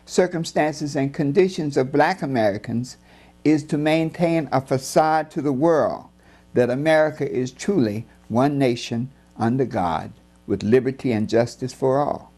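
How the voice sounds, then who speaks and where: A man reading his own book as scripted narration, in a grave, deliberate and emphatic oratorical manner. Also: medium pitch, warm tone.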